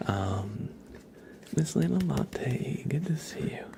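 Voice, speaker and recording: A man hosting an ASMR livestream, chatting playfully with viewers in a soft, whispery, breathy voice with mock-serious teasing. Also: medium pitch, sing-song, slow, background noise.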